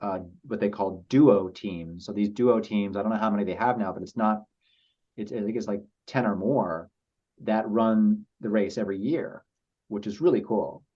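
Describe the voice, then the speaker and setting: A man speaking as a podcast guest, telling personal stories in a halting, reflective and earnest way. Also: fairly low pitch, dark tone, slightly rough. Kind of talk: casual interview storytelling. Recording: slightly dull lo-fi audio.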